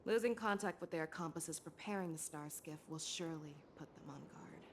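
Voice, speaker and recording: A woman voicing a composed, shrewd general in a video game, with a measured, commanding, analytical manner. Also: low voice, noisy background, lightly acted. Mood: calm, calculating.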